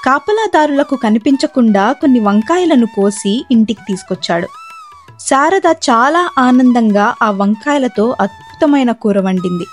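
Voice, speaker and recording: A woman narrating a scripted moral story as a storyteller. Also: fairly high pitch.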